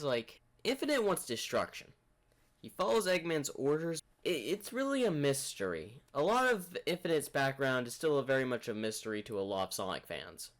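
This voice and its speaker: Enthusiastic man giving casual reaction commentary. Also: a little high. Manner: enthusiastic, musing. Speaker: reaction video host.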